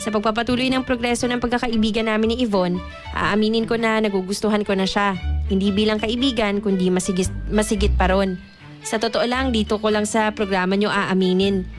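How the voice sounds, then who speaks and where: An actor playing an earnest young Filipino man who narrates his own story in a measured, confessional radio drama style. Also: high-pitched, fairly even intonation, natural voice. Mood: confiding, earnest. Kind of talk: scripted first-person radio drama narration. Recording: music bed under narration.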